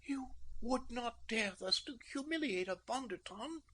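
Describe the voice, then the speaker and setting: Man voicing a proud young noblewoman, high falsetto, bright, breathy, imperious. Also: expressive, a little slow, slight background noise, heavily acted. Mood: frightened. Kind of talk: audiobook narration.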